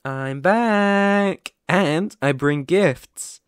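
Man acting a slow, gentle, playful boyfriend. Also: sits high, smooth, no echo, studio quality. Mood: cheerful, caring. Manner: gentle, soothing, affectionate, chuckling often. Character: caring, playful young boyfriend tending his sick partner. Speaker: ASMR roleplay performer. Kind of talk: ASMR boyfriend roleplay, intimate. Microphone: close microphone, dry.

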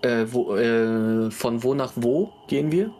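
A man's voice: medium pitch, slow pace. A man chatting casually as a player in a tabletop game. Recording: loud background noise, clicks.

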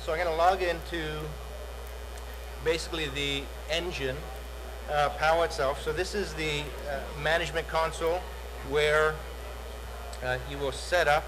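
A middle-aged man giving a software demo on a conference stage, talking in a salesy way with many fillers and repeated words. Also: a little high, fairly even intonation, moderate pace, background noise. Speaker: product demo presenter. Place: large dark conference hall, on stage.

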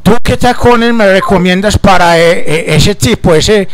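A man's voice: high-pitched, a little slow. A man playing a folksy, affectionate old Colombian countryman in his late seventies, hesitant and rambling, on a prank phone call. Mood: chatty, sly. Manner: hesitant, rambling, repeatedly endearing.

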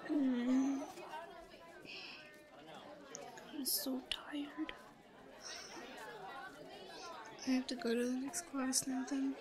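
A woman playing a shy, obsessive, lovesick yandere schoolgirl in an intimate roleplay audio, breathy, whispery and halting. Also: high voice. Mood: hushed pining. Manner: giggly, halting. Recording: noisy, crowded ambient background.

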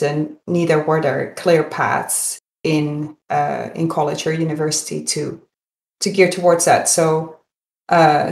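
A woman's voice: low voice, vocal fry, slight background noise. A middle-aged woman, chatting as a podcast guest and often repeating words as she goes. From Netherlands. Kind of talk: conversational interview answers. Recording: roomy, echoing sound.

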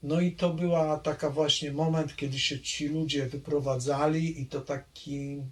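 A middle-aged man from Poland, slow and reflective, recalling his childhood in an oral history interview with many pauses. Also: a little high, flat delivery. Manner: hesitant, reflective, trailing off.

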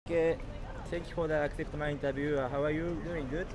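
A man's voice: a little high, fast. A male street interviewer, chatting in simple English and often exclaiming in response. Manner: excitable, frequent exclamations and backchannels. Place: outdoors on a city street at night. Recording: busy city street chatter and traffic.

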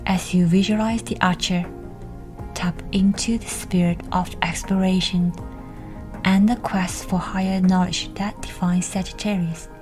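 A woman speaks in a slightly low, breathy and whispery voice as she guides a meditation. Her delivery is scripted, soothing and unhurried, leaving space after instructions. Soft-edged words sit over faint background music. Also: steady tone.

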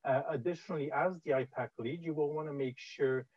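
A man's voice: on the high side, fairly quick, darkish tone, breathy edge, no room sound. A man in his thirties with a Canadian accent, presenting a training webinar over slides on a video call, with frequent "uh" fillers in a conversational way. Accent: Canadian English. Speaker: webinar presenter on infection prevention. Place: indoor room, on video call. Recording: dull, dry video-call audio.

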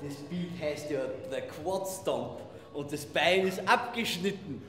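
Austrian guy in his 30s, bit high voice, chatting casually in a big echoey hall, restarts his words. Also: measured pace, fairly bright tone. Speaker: vlogger visiting a gym. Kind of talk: casual chat. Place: indoor gym rooms. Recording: echoey hall-like rooms, faint music. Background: faint music.

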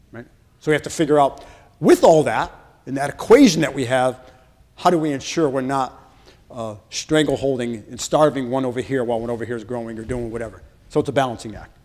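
A man's voice: medium pitch, darkish tone. Man presenting in a reverberant hall. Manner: casual, asks the audience questions. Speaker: state senator presenting. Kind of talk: conversational budget presentation. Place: large hotel function room with audience. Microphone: far camera. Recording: long reverb in a large room.

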